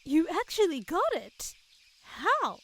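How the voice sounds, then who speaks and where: A woman's voice: very high voice, huge pitch swings, takes it slowly, noisy background, heavily acted. A woman playing a prim, formal, subtly catty young woman in a comedic fantasy audio drama. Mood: pleasant. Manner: formal, politely barbed.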